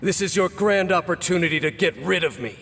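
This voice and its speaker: A man voicing a grave, reserved swordsman captain in a video game, measured and commanding. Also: high voice, creaky, fairly bright tone, slight background noise. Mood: urgent. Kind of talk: video game cutscene voice acting. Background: game score.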